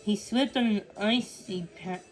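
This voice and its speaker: A woman reads a children's storybook aloud, halting now and then and restarting words. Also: mid-range voice, soft background music. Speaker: storybook reader. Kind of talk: read-along storytelling of a children's book.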